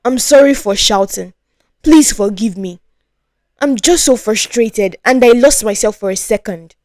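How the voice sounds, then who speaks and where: A woman's voice: on the high side, measured pace, a little mellow, dry recording, clean studio sound, lightly acted. A woman voicing a resentful, insecure young man in a storytelling tale, with a brooding, snappish manner. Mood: apologetic.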